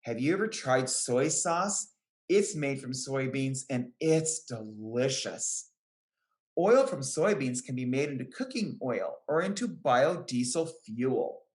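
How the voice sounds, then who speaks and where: A woman reading a picture book aloud to young children, with careful, deliberate phrasing. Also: very deep voice, lively intonation, darkish tone. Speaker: children's book reader, extension educator. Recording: roomy, echoing sound.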